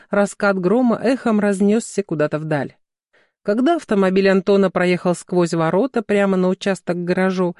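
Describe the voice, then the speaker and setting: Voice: mid-range voice, darkish tone, dry recording, clean studio sound. A narrator reading scripted fiction. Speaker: audiobook narrator.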